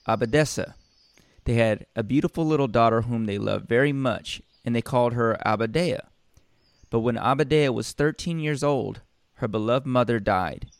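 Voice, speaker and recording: A man reading a storybook and breaking off into casual, rambling, deadpan joking asides. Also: medium pitch, no echo. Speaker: storybook reader and comedian.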